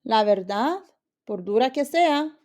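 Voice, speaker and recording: A woman's voice: fairly high pitch, lively intonation, unhurried. Woman voicing a kindly, encouraging storybook father. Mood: questioning. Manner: encouraging, gently questioning. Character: kindly, encouraging father giving gentle advice.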